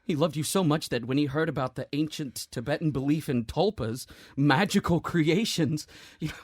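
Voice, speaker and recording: An actor playing a grieving, guilt-ridden man in an audio drama, speaking in a halting, hushed, earnest way with a husky voice. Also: a little high, no echo, natural voice. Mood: grieving, gentle.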